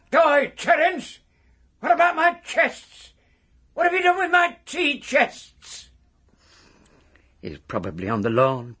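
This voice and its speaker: An actor playing a small, obsessive, retired old removals packer in a radio monologue, husky and wordy, wistful and full of self-importance. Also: male voice, medium pitch, fairly bright tone, full character voice. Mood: impatient. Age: older. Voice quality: raspy, husky. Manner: wordy, self-important, working-class grammar with grand phrases.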